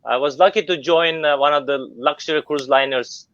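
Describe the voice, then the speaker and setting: A man's voice: on the high side, fairly quick, a bit bright, no echo. A middle-aged man from Turkey whose first language is Turkish, speaking English with many fillers and restarts as a guest in an online interview. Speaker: guest business owner. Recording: dull lo-fi sound.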